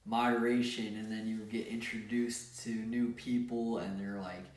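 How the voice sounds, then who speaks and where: A young man chats loosely, restarting and repeating words as he goes. His voice is slightly low and sounds set back from the microphone. The room adds a clear echo. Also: level tone, normal speed, recorded from a distance, a little hiss or hum. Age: young adult. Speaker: podcast co-host. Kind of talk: casual podcast chat. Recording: echoey room, away from the mic.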